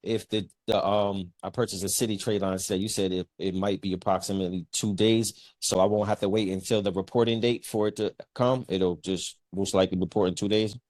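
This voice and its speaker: A man on a video call works through his question haltingly, repeating words as he goes. His voice is fairly low and sounds dry, with almost no room echo. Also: fairly even intonation, darkish tone. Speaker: webinar participant.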